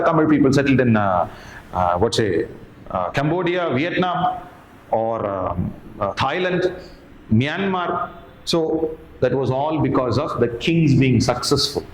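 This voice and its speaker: A man with an Indian English accent giving an explanatory history lecture to exam candidates, repeating key terms and posing rhetorical questions. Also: mid-range voice. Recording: echoey room with faint background noise.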